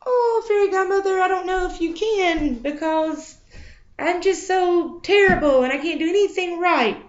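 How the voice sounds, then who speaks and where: A woman's voice: extremely high pitch, normal speed, voice pushed up. A woman voicing a self-pitying young maiden who whines and sobs over her hard life, in an echoey room. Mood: whiny. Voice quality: whiny, weepy. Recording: echoey, slightly dull recording.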